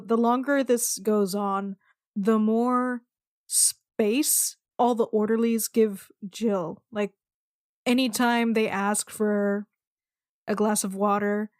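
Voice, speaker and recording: A woman's voice: medium pitch, darkish tone, dry recording, clean studio sound. A woman casually describing her character's actions as a player in a tabletop roleplaying game.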